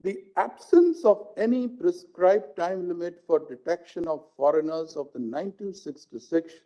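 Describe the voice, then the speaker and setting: A man with an Indian accent, a judge reading out a legal judgment in formal, deliberate phrasing. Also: high-pitched, lively intonation, warm tone. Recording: dull lo-fi live stream audio.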